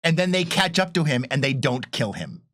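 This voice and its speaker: A man chatting casually as a panelist, telling anecdotes in a rambling, animated storytelling way. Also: on the high side, fairly quick, no echo, clean studio sound.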